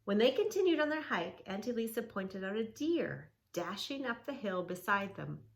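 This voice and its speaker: A woman reading a children's book aloud and gently guiding kids through yoga poses, soothing and instructive. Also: medium pitch, sing-song, moderate pace, creaky. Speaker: kids yoga teacher and reader.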